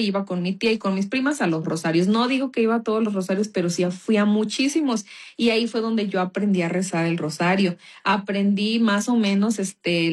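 A woman from Mexico with a Mexican accent gives an informal personal testimony in a conversational, earnest, explanatory manner. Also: mid-range voice. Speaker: speaker giving a religious testimony.

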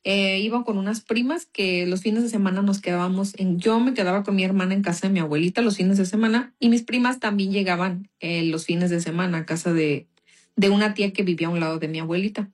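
A woman's voice: mid-range voice, brisk. A young Mexican woman with a Mexican accent, telling her personal faith story in a casual, chatty way full of small fillers. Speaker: guest giving a testimony.

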